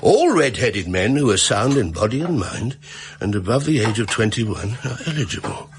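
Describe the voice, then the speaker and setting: An actor playing a fussy, gullible middle-aged pawnbroker in a radio drama, rambling and deferential. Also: male voice, mid-range voice, sing-song, moderate pace, slight background noise, natural voice. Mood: puzzled reading. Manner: rambling, hesitant, chuckling, deferential. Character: fussy, garrulous, gullible widowed London pawnbroker. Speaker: client telling his story. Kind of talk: British radio drama acting.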